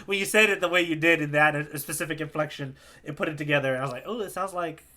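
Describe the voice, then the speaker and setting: A man's voice: fairly high pitch, racing, bright, forceful tone. A man co-hosting a podcast in informal, casual chat, circling around his point with repeated words. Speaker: podcast co-host.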